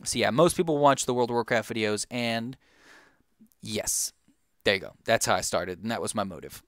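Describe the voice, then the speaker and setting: A man's voice: lowish voice, normal speed, fairly bright tone, no echo, clean studio sound. A young man answering viewer questions in a casual, rambling way, with lots of fillers and restarts. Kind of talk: casual Q&A chat.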